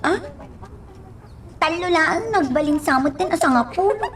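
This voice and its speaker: A woman's voice: very high voice, lively intonation, measured pace, a crowd in the background. A woman with an Ilocano accent playing a flustered, exclamatory character in a radio drama. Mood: teasing, chatty.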